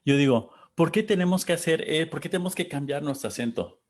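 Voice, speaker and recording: A man from Texas hosting a panel, talking casually and reflectively, pausing to search for words and reading out audience comments. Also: a little high, animated. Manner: thoughtful, searching for words, pauses.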